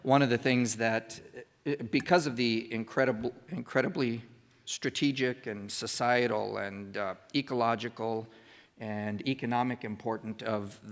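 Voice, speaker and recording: A man from the United States making unscripted remarks on a conference panel in a halting, deliberate, earnest way. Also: medium pitch, steady tone. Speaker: panelist introducing speakers. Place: large indoor conference hall. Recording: echoey hall sound.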